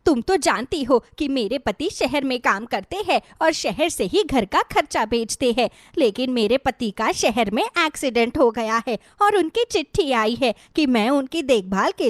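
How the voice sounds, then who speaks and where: A woman plays a troubled neighbour in a moral story. She is dramatic and pleading, with a high, animated voice. The recording is dry and free of room sound. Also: dry recording.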